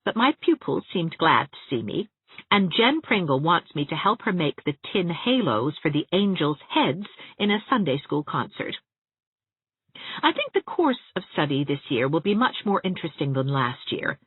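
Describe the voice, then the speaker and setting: A woman's voice: deep voice, big pitch swings, moderate pace, breathy edge, no echo. A woman narrating an audiobook, reading scripted story prose expressively. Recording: narrow, phone-like audiobook sound.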